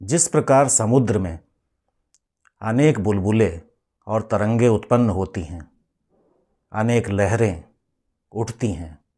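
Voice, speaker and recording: Male meditation guide and teacher: fairly low pitch, very unhurried pace, measured and instructive delivery with deliberate pauses. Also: dark tone, husky. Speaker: professor leading a guided meditation.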